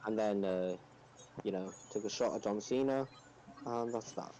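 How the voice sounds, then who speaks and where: Uomo dalla voce bassa, schietto. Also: male voice, moderate pace, mellow. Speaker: podcast panelist. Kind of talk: casual sports banter.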